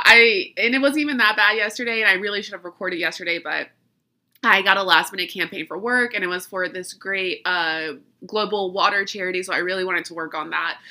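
A woman talks through a stuffy, allergy-clogged nose as she hosts her podcast. She is chatty and quick to laugh at herself, with a very bright, forward tone. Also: medium pitch, creaky. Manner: chatty, laughs often, self-deprecating. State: congested from allergies. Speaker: podcast host. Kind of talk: casual chat.

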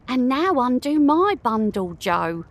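A woman's voice: sits high, sing-song, slow, exaggerated voice. A woman playing a scornful, thieving working-class charwoman in an audio drama. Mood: gleeful, brazen.

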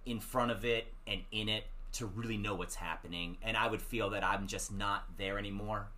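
Measured, hesitant man in casual chat. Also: on the low side, steady tone, sharp tone. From Washington DC area. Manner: measured, hesitant, with many ums. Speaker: guest.

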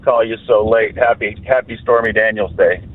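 A man calling in to a radio show who speaks loudly and emphatically. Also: fairly low pitch, steady tone, normal speed, slight background noise. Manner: loud, emphatic. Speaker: caller. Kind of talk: call-in radio. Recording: phone line.

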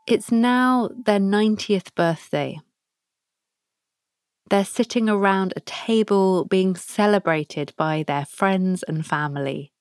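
Woman, guided meditation host, very slow pace, soft prompts, dry close recording. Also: medium pitch, smooth, no room sound. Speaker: podcast host guiding a practice.

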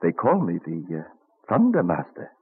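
A man's voice: sits low, huge pitch swings, normal speed, breathy edge, heavily acted. A man playing a genial, scholarly old statesman and inventor in an old-time radio drama, musing fondly and savouring his words. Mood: fond, proud. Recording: muffled vintage radio recording.

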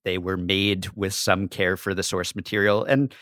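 Male podcast guest, slightly low voice, wry analytical chat, close dry sound. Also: little pitch movement, moderate pace, a bit bright, no room sound. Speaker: podcast guest, staff writer. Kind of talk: casual analytical discussion.

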